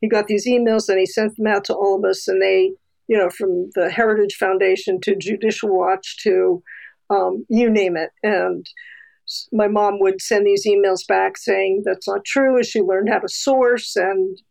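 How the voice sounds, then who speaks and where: A woman's voice: mid-range voice, fairly even intonation, normal speed, vocal fry, darkish tone, rough recording. A woman from New Jersey, a documentary filmmaker, telling a personal story in a candid, chatty way with many fillers. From New Jersey, USA. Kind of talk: casual interview storytelling.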